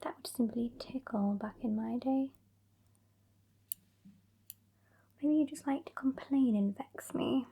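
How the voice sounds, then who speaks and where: A woman's voice: sits high, normal speed, heavily acted. A woman playing a haughty, sassy female vampire with a British accent in a whispered ASMR roleplay, condescending and exasperated. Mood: disdainful. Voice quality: whispery, breathy. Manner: condescending, exasperated, softly scolding. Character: sassy, haughty old female vampire scolding her fledgling.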